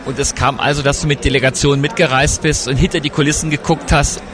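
Male reporter, quick questions, crowd noise. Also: mid-range voice, steady tone. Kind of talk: interview questions.

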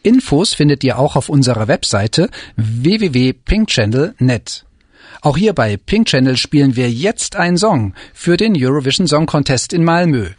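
A male presenter reads a scripted feature intro in a lively, clearly announced way. His voice swings a lot and is slightly breathy, and the booth is dry and close. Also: mid-range voice, moderate pace, no room sound. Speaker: radio presenter. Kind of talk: scripted news narration.

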